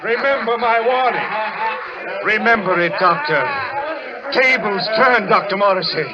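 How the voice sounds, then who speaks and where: A vengeful madman taunts theatrically in a very high voice. It is old radio thriller acting, menacing throughout. The recording is muffled, with a loud crowd behind him. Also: unhurried. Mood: gloating menace. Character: menacing, vengeful madman leading an asylum revolt.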